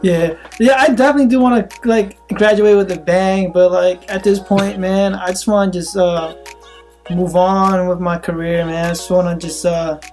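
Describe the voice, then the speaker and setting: A young man in his senior year of college, chatting casually and laughing often, with soft music behind him. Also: high-pitched, moderate pace. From Philippines. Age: young adult. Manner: relaxed, laughs often, says man a lot. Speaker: co-host. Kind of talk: casual chat.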